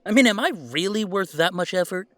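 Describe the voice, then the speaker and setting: A man's voice: high voice, expressive, a little fast, a bit bright, no room sound. A man playing a spirited, cocky young man in a werewolf audio drama. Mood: defiant, cocky.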